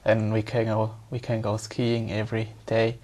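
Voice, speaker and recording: A man's voice: fairly low pitch, monotone, moderate pace, dark tone, low-quality recording. A young man from Switzerland, answering an exam speaking test in careful, hesitant English and often repeating words as he searches for them. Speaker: exam candidate.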